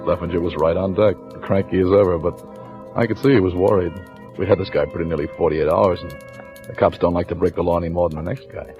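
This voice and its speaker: An actor playing a shrewd, weary police lieutenant in an old-time radio crime drama, with clipped colloquial delivery. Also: male voice, low-pitched, fairly even intonation, quick pace, close to an everyday voice. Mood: weary, narrating. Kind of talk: old-time radio crime drama acting, first-person narration and dialogue. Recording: dull vintage radio recording with music.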